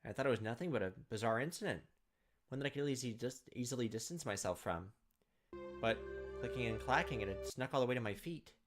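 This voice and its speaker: A man's voice: mid-range voice, expressive, fast, faint music behind. Man reading a visual novel aloud, dramatically.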